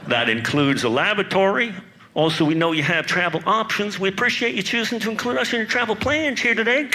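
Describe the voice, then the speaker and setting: A comedian putting on a calm Midwestern airline pilot who speaks slowly, with long "uh"s, on a stage mic with laughter behind. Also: male voice, high voice, pitched above the performer's own voice. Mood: soothing deadpan. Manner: slow, halting, with drawn-out "uh"s.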